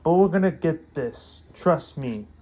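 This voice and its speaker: A man talking casually through an unboxing demonstration in halting short phrases with non-standard grammar. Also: fairly high pitch, lively intonation, dark tone. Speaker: unboxing video creator.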